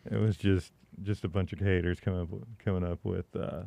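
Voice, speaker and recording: A man co-hosting a talk show, speaking haltingly with many fillers and chuckles. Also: sits low, flat delivery, creaky, warm tone. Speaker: co-host. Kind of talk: casual talk show chat.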